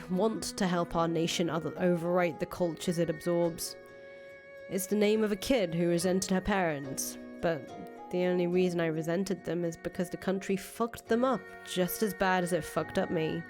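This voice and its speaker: A woman voicing a jaded, blunt young fugitive in a visual novel, sardonic and quick to swear. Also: on the low side, close to an everyday voice. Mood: earnest, resolute. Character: jaded, foul-mouthed young woman, fugitive ex-cult leader. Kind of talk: voiced reading of visual novel dialogue. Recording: music playing under the voice.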